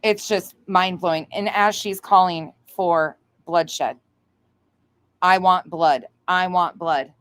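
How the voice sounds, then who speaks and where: Female livestream commentator, lower register, indignant and foul-mouthed, recorded close and dry. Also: steady tone, slow pace, clear, resonant tone, dry recording. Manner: blunt, indignant, heavily swearing. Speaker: livestream host. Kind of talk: livestream commentary reacting to another creator, chatting with viewers.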